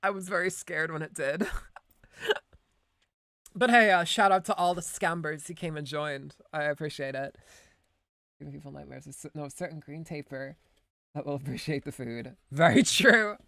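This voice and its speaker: A woman's voice: deep voice, normal speed, long pauses, a bit bright, no echo, studio quality. A woman from Ireland with a light Irish accent blended with American, chatting casually on a live stream, giggling, rambling, swearing and correcting herself. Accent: light Irish, mixed with American.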